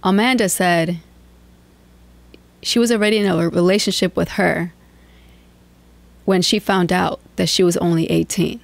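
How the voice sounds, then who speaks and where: A woman's voice: fairly low pitch, fairly even intonation, unhurried, vocal fry, long pauses, dry recording. A woman hosting a reaction video, blunt and chatty, giving her opinions plainly. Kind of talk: casual reaction commentary.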